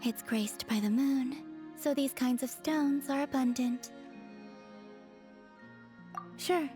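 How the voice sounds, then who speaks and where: Slow, serene young moon goddess. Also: female voice, high-pitched, soft background music. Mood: calm, gentle. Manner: soft-spoken, unhurried, composed. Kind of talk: video game voice acting.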